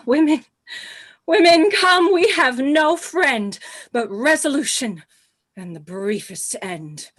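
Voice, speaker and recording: Woman acting a high-voiced grieving queen. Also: lively intonation, takes it slowly, pauses often, fairly bright tone, full character voice. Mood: resolute. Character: Cleopatra, grieving, imperious queen and lover. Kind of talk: Shakespearean radio play acting.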